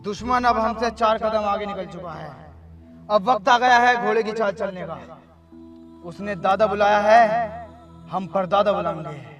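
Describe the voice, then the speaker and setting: A man's voice: high voice, unhurried, fairly bright tone, muffled recording, soft background music. A man playing an aggrieved, bossy and scheming village election candidate in a comedy sketch. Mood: scheming, cool.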